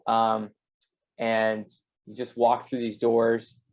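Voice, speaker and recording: A male interview guest shares his personal story in a casual, conversational way. His pitch stays fairly flat and even throughout. He sounds like someone talking informally about his own life. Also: slow pace, highs cut off. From Canada and the United States.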